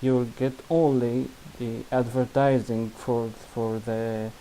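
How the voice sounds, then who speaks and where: Slow, halting Syrian Arabic-accented man. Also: mid-range voice, steady tone, mellow, a little hiss or hum, low-quality recording. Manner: halting, many restarts and fillers, non-native grammar. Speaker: student presenting a project. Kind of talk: screen-recorded software demo.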